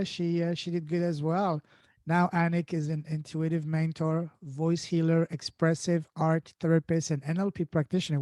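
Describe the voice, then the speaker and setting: High-voiced male podcast host, native French speaker. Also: warm tone, no room sound. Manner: effusive, repeats words. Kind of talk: enthusiastic podcast introduction and interviewing.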